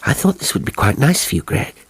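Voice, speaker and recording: A man's voice: medium pitch, big pitch swings, quick pace, slightly rough, dry recording, voice pushed up. A man playing a young English woman, light and coaxing, with a British accent. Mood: concerned, coaxing.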